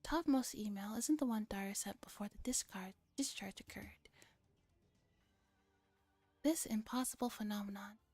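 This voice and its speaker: A woman reads a story aloud in a soft, mellow ASMR voice, often dropping to a whisper. She is hushed and deliberate with a dramatic touch. The sound is very dry and close. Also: medium pitch, no echo, faint music behind. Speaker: streamer reading a visual novel aloud.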